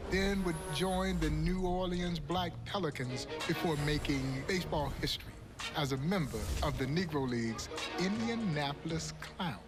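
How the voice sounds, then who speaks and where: A man's voice: on the high side, moderate pace. A man telling sports history as a documentary narrator, emphatic, putting rhetorical questions to the listener. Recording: prominent music under the narration. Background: music.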